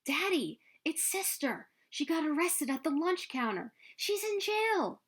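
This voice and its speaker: An earnest young girl from a storybook, acted out by a woman. She speaks eagerly and directly, in a high voice that jumps about in pitch. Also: sing-song, measured pace, fairly bright tone, exaggerated voice. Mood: alarmed. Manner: eager, plaintive, childlike directness.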